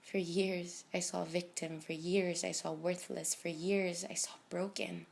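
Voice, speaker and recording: Young woman, early twenties, slam poetry recitation, lowish pitch, heavy vocal fry, deliberate emotive phrasing, dramatic pauses. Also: lively intonation, a little hiss or hum. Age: early 20s. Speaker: slam poet.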